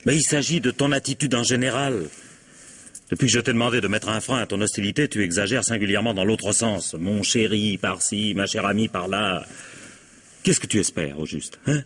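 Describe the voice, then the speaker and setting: A weary, cutting husband in a vintage radio play. His voice is low and somewhat husky, and he speaks with curt reproach and dry sarcasm. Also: fairly quick, a bit bright. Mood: reproachful. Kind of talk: vintage French radio drama acting.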